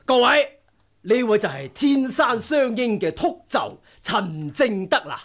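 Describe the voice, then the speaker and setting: Genial older male swordsman: husky, animated, Hong Kong Cantonese, radio drama. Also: very high voice, measured pace, full character voice. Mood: jovial.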